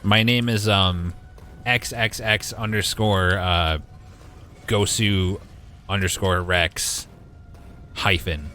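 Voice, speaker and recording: A man in his twenties with a deep voice gives casual, wry live gaming commentary and talks back to his chat. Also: steady tone, slow pace, pauses often, pushed and bright, soft background music. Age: 20s. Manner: wry, joking, mild swearing, talks to chat. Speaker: game streamer.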